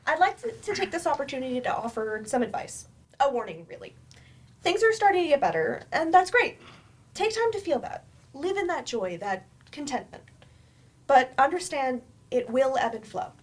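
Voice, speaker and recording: A woman playing a measured, supportive doctor in a staged play reading, recorded in a room with some noise. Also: sits high, animated.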